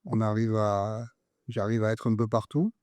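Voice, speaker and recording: A man, the guest head chef, talking in a relaxed, hesitant way in casual chat. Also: fairly low pitch, moderate pace, dry recording.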